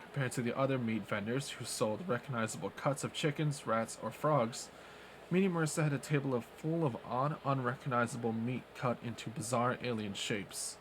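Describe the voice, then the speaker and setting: A man streaming, reading a visual novel aloud in a steady way with small slips. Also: medium pitch, moderate pace, a little hiss or hum, rough recording.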